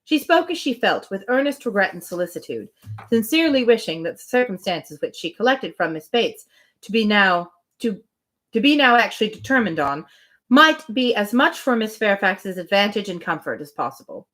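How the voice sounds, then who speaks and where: A woman's voice: medium pitch, very animated, moderate pace, a bit bright. A woman hosting a live reading, narrating a classic novel and dropping into casual chat about it. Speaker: host of live book readings.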